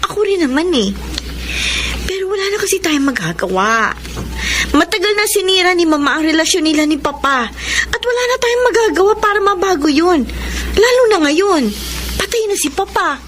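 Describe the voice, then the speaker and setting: A worried Filipina younger sister in a radio serial. She is high-voiced and gentle, pleading and resigned, with faint noise behind her. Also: lively intonation, normal speed. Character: anxious, conciliatory younger sister, working student. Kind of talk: Filipino radio drama acting.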